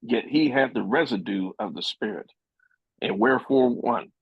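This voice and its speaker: A man reading scripture aloud in a measured, solemn way. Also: mid-range voice, husky, no room sound. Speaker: scripture reader. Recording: muffled dry lo-fi audio.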